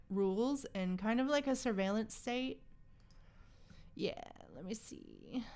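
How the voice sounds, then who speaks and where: A woman's voice: medium pitch, clear, resonant tone. A woman chatting casually on a gaming livestream, thinking aloud in an informal, musing way. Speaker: livestream host.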